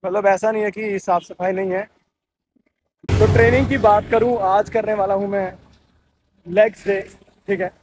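A man's voice: high-pitched, fairly even intonation, normal speed, long pauses. A man chatting casually to camera as a fitness vlogger, on a handheld phone. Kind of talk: casual vlog chat to camera, Hindi mixed with English. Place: outdoors on busy streets, later inside a gym. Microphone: phone held at arm's length. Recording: noisy street and gym ambience, traffic.

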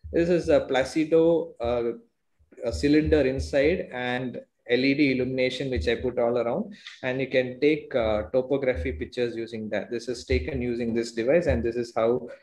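A man in his thirties with an Indian English accent, presenting his own work to a conference over a video call in a steady, explaining way with many fillers. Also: mid-range voice, normal speed, roomy sound. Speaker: presenting doctor. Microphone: earphone mic on video call.

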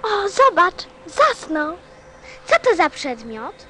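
Very high-pitched, curious little boy prince played by a woman. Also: very animated, takes it slowly, pushed and bright, slight background noise, heavily acted. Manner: earnest, childlike, insistent. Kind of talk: radio play acting.

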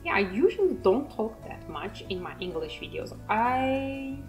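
A woman's voice: on the high side, big pitch swings, a little slow, faint music behind, poor audio. A woman with a Turkish accent, a native Turkish speaker, giving a rambling, self-mocking voice-over while she paints, laughing often. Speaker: art channel host.

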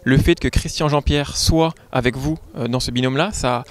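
A young male interviewer asking brisk, direct questions into a handheld mic. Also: mid-range voice, fairly even intonation. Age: young adult. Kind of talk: quick press interview questions. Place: small dark padded room indoors.

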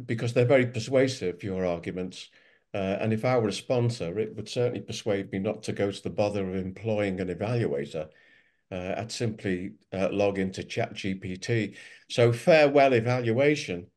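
A man's voice: fairly low pitch, darkish tone. Older man, dry and ironic. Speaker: debate speaker. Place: home living room. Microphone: headset.

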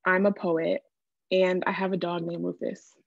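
A woman's voice: fairly low pitch, flat delivery, normal speed, vocal fry, no echo. A woman introducing herself casually over muffled, lo-fi video-call audio.

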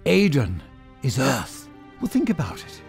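An actor playing an anguished, excitable professor and protective father in an audio drama, with a halting, emphatic delivery. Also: medium pitch, gravelly, faint music behind, full character voice. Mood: excited realisation. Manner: halting, repeats himself, emphatic.

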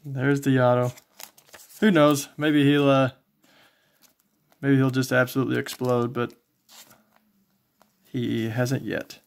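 A man casually commentating as he shows collectibles, in a low-key, folksy way, thinking aloud. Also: flat delivery, takes it slowly, vocal fry, pauses often. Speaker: trading card collector and video host. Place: indoors, small home tabletop setup.

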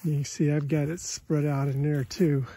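A folksy American man narrates farm chores in an open pasture. His voice is very dark and mellow, with a creaky, husky grain, and he talks casually into a handheld phone. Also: fairly high pitch, little pitch movement, moderate pace. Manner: folksy, plain-spoken. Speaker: cattle farmer, vlogger. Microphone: handheld phone held by the speaker behind the camera.

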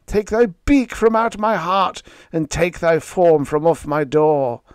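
Man acting a grief-stricken man crying out, high and loud. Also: animated, slow pace, clear, resonant tone, dry recording, studio quality. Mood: furious shrieking. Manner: impassioned, theatrical declaiming. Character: anguished grieving man crying out in fury and pleading.

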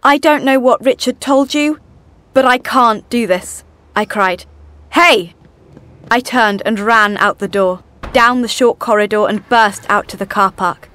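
A woman's voice: on the high side, unhurried, sharp tone, soft background music. A woman voicing a distressed, confused first-person storyteller in dramatic audiobook narration. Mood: anxious.

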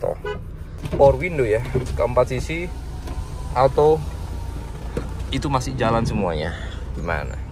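A man's voice: medium pitch, lively intonation, measured pace, pauses often, music in the background. A man giving an informal, slangy, enthusiastic walkaround sales talk on a car. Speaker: car seller presenting a used car. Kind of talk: casual walkaround review to camera. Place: outdoors by a car and inside it. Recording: clear outdoor and car background noise.

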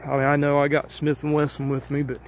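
A man talks to his camera while walking a long overgrown back road, his voice steady and flat with little rise or fall. He rambles loosely and sounds a little worn from the walk, and faint insects buzz in the open air behind him. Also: fairly high pitch, fast talker, a little hiss or hum. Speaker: vlogger exploring an abandoned road. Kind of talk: casual walking vlog. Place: outdoors on an overgrown country road. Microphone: handheld or body camera while walking. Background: faint insects and rural ambience.

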